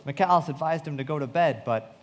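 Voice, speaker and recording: A man playing a sombre narrator recounting a tragedy in a radio play, with measured, solemn delivery. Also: fairly high pitch, lightly acted. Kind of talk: dramatic scripted narration. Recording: reverberant hall.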